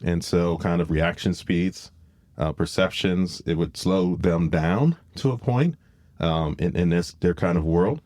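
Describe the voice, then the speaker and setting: Casual podcast host, male, low voice, dry close sound, loose explanatory delivery. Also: measured pace, a little mellow, no room sound.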